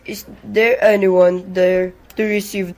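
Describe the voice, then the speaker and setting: A businesslike telegraph clerk, played by a young woman in an amateur radio drama. The voice is mid-pitched and slow, reading in a formal, deliberate way. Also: flat delivery, darkish tone. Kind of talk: amateur radio play acting.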